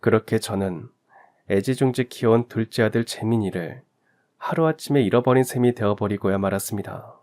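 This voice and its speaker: A man's voice: fairly low pitch, brisk, darkish tone. Earnest man narrating a story. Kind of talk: scripted first-person narration.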